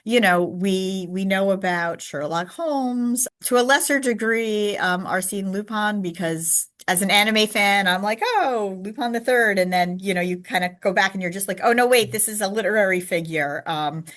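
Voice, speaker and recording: A woman's voice: medium pitch, normal speed, few pauses, fairly bright tone. An American woman hosts a podcast and asks her guest about his work. She rambles, backtracks and corrects herself as she goes, with plenty of fillers. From United States. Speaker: podcast host. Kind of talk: interview questions.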